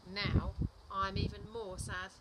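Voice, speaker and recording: A woman voicing a sad, polite storybook tortoise who pleads in a slow, plaintive way. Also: mid-range voice, flat delivery, very noisy, natural voice.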